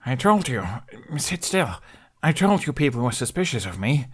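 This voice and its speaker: Young adventurer boy, male narrator's put-on, urgent and cheeky, lifted pitch, storybook read. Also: sing-song, normal speed, a bit bright, husky. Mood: exasperated. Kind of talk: audiobook character voice.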